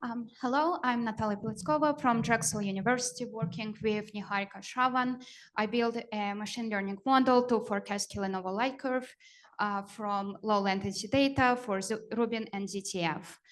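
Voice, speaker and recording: A woman presenting her research in a short conference flash talk, plain and measured, with fillers. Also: fairly high pitch.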